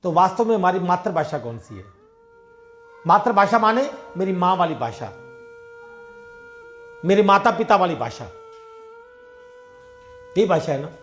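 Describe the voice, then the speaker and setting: A man's voice: sits high, sing-song, unhurried, long pauses, faint music behind. A man giving a devotional sermon, telling everyday parables and acting out the dialogue in a conversational way. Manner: conversational storytelling, acts out dialogue in his own voice. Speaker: satsang preacher.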